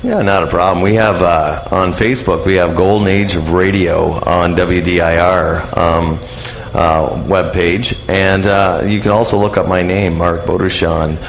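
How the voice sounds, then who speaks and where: An older man speaking as a guest in a casual radio interview, in a halting, rambling way with frequent hesitations. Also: low voice, steady tone, gravelly, a little hiss or hum. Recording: dull lo-fi radio interview audio.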